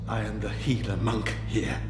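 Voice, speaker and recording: An actor playing a wounded older monk healer in a fantasy game, strained with pain, halting and pleading. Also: male voice, on the low side, close to an everyday voice. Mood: weary. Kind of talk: fantasy game cutscene acting. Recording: echoey hall with music underneath.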